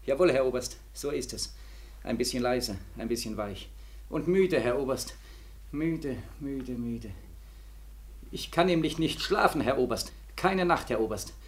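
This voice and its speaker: A feverish, haunted war veteran. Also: male voice, mid-range voice, lively intonation, unhurried. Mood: weary, pleading. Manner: feverish, sardonic, repetitive, swinging from weary murmur to anguished cries. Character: bitter, sleepless, haunted young returning war veteran. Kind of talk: 1940s German radio drama acting.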